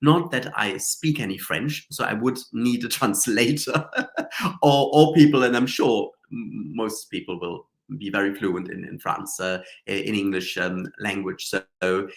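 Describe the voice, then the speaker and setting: A man's voice: fairly low pitch, a little slow, creaky. A man with a cold and a slightly nasal voice, hosting a live stream in a chatty, rambling way with many fillers and restarts. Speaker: Reiki teacher and show host. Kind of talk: casual live-stream talk.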